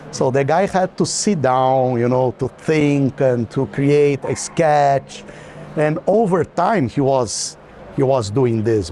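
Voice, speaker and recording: A man's voice: mid-range voice, a little mellow. A man in an unscripted, reflective conversation about art, speaking as a guest on a tour. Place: walking through an art fair. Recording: clear background noise of busy fair.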